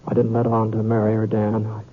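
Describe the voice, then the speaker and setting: An actor playing a seasoned, weary western gunman with a folksy, halting manner in an old-time radio drama. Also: fairly low pitch, completely flat delivery, lightly acted. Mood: tense narration. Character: seasoned male western gunman, weary and guarded, recounting his tale. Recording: muffled, hissy vintage radio recording.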